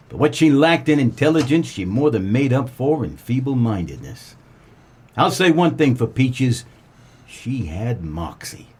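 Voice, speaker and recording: An American man playing a world-weary, wisecracking 1940s private eye in a comedic noir radio play, with deadpan melodrama. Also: mid-range voice, slightly rough. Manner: deadpan, melodramatic tough-guy delivery.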